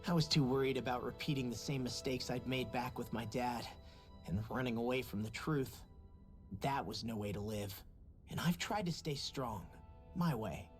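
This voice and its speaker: A man's voice: mid-range voice, normal speed, soft background music, close to an everyday voice. An actor voicing a brash but big-hearted young male fighter in a video game cutscene, talking in a casual, candid way.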